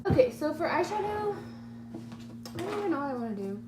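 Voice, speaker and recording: A 21-year-old woman with a slightly hoarse voice, chatting casually through a makeup tutorial in a rambling, self-deprecating way. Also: very high voice, sing-song, fairly quick. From California, United States. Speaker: beauty vlogger. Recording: amateur home video, clear humming background noise.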